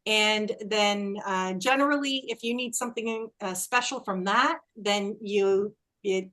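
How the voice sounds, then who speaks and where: A woman hosting a casual group video call as tour organizer, chatty and quick to laugh. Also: mid-range voice, fairly bright tone. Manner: chatty, laughs often, restarts phrases.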